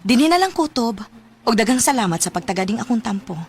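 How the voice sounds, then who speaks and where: A woman's voice: a little high, very animated, quick pace, a little hiss or hum. An actress playing a heartbroken, pleading young woman in a radio drama, with a Cebuano accent. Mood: sad, resigned. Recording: music bed behind the voice.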